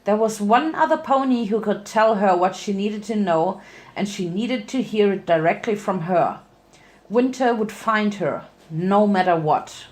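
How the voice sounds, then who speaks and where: A woman's voice: medium pitch, lively intonation, measured pace, a little mellow. A woman narrating fan fiction as a dramatic reading, occasionally stumbling and correcting herself.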